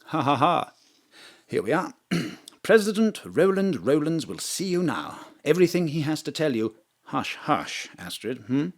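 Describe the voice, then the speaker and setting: Suave government official, audio drama, discreet, courteous, faintly patronising, animated intonation. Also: male voice, on the high side, measured pace, full character voice. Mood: confiding. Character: smooth, discreet male government diplomat in fine tailoring.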